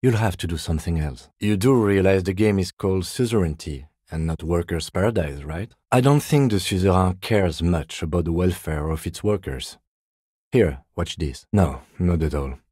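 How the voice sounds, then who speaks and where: An actor playing a calm, methodical middle-aged police lieutenant in a video game, measured, understated and dryly wry, with a husky voice. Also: male voice, deep voice, no room sound, clean studio sound. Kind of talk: video game character dialogue.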